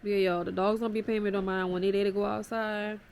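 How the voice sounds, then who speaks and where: A woman's voice: mid-range voice, little pitch movement, fast, vocal fry, a little mellow, a little hiss or hum. Stuffy-nosed woman chatting to her phone. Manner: chatty, rambling, addresses viewers as y'all. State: stuffy nose from a cold. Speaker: vlogger. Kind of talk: casual vlog chat. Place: at home.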